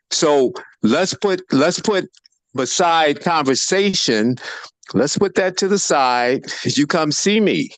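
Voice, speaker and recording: A man's voice: medium pitch, no echo. Older man explaining earnestly on a call. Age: 70s. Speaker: breathing practitioner. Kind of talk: casual chat. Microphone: video call.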